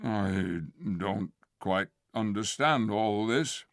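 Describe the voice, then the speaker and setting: A man doing a slow, grumbling puzzled bear. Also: fairly low pitch, no echo, studio quality.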